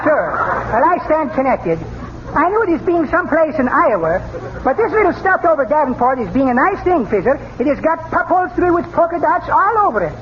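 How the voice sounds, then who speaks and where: High-voiced neighbor mangling English. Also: male voice, very animated, fairly quick. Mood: confidently muddled. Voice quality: thick dialect with comically garbled words. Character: cheerful Greek immigrant neighbor man mangling English. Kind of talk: 1930s radio comedy acting. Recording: noisy, muffled 1930s radio recording.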